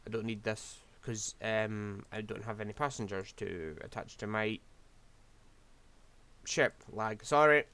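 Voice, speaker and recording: A man's voice: on the low side, a little slow, pushed and bright. A man, rambling through casual gameplay commentary while losing his voice. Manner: rambling, scatterbrained, self-deprecating. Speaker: game streamer.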